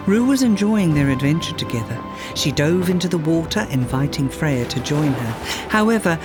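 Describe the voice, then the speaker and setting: A male storyteller reads a children's book in a slightly high voice. His delivery is unhurried and full of melodic movement, in a polished storybook style. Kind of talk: expressive scripted storybook narration. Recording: music behind the voice. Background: music.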